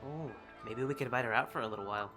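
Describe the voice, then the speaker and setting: A male streamer chats through a game in an offhand, wry way. His voice sits at a medium pitch, with clear game music playing behind him. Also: racing, bright, forceful tone. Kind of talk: casual playthrough commentary, reading dialogue along.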